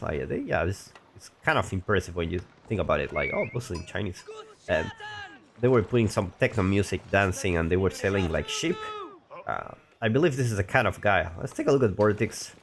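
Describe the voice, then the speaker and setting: A man's voice: low voice, animated, fairly quick, creaky, noisy background. A man casually commentating a strategy game match, a little sick and talking in a rambling, chatty way. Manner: rambling, chatty, frequent restarts and asides. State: a little sick, voice deeper than usual. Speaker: game caster.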